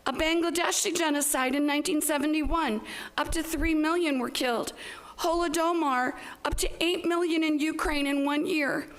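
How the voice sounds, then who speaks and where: A woman giving a halting, plain-spoken public comment, partly read out, at a council meeting. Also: sits high.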